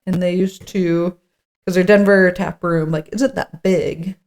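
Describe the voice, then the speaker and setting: A woman's voice: on the low side, fairly even intonation, measured pace, a little mellow. A woman co-hosting a podcast in an informal chat, partly reading from notes and thinking aloud. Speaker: podcast co-host.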